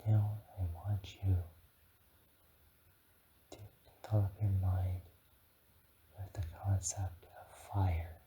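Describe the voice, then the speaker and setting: Man as encouraging dragon guide, low-pitched halting whisper, soothing ASMR roleplay, noticeable background hiss. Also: little pitch movement, phone-quality sound. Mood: gentle, encouraging.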